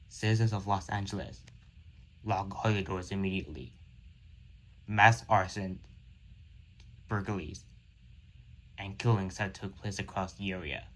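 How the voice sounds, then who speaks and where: A man with a low-pitched voice delivers scripted emergency alert narration. He speaks as a broadcast announcer would, formal and measured throughout. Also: low-quality recording.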